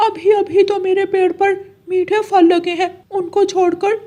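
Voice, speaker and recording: A man's voice: extremely high pitch, little pitch movement, a little slow, warm tone. A man voicing a reluctant male parrot in a children's cartoon, in a strained put-on voice. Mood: reluctant protest. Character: reluctant male parrot, attached to fruit tree. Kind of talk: Hindi children's cartoon dialogue.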